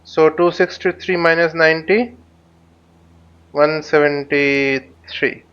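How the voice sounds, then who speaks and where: A man giving an unscripted flight simulator tutorial, thinking aloud haltingly and often muttering to himself. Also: fairly high pitch, fairly even intonation, a bit bright, clean tone, muffled recording. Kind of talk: unscripted tutorial commentary while flying a simulator.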